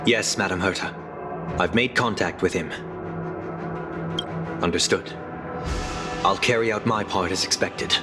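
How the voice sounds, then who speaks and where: A solemn, courteous young man from a video game, speaks formally and earnestly. His fairly low voice rings with echo over clear music. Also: a little slow, pauses often. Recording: echoey hall reverb, music behind.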